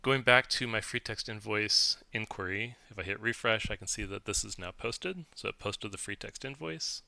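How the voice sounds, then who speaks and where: A man giving a methodical, explanatory walkthrough as a software demo presenter, recorded close on the mic. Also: on the low side, creaky, no echo. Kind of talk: instructional screen-share walkthrough, semi-scripted.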